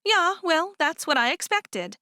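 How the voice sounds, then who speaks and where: A woman's voice: very high-pitched, sing-song, measured pace, pushed and bright, no room sound, clean studio sound, voice pushed up, heavily acted. A woman reading aloud and voicing an upset, stubborn teenage farm girl who talks in a sulky, indignant way.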